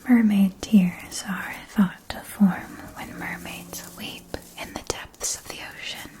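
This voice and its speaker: A woman whispering softly and breathily in a scripted ASMR roleplay as a gentle magic shopkeeper, enunciating each phrase with care. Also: mid-range voice, steady tone, low-quality recording. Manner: slow, deliberate, careful enunciation.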